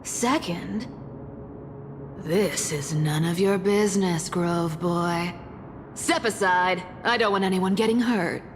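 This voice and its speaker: An actress playing a mocking, playful catlike trickster demigod in a video game. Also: female voice, lowish voice, slow, slight background noise. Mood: taunting. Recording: game audio with long reverb and music.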